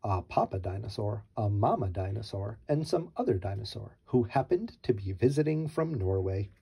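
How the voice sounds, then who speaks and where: Man, storyteller, very animated, mellow and slightly husky, wry theatrical delivery. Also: on the low side, moderate pace. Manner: wry, theatrical mock innocence. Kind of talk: read-aloud children's storytelling.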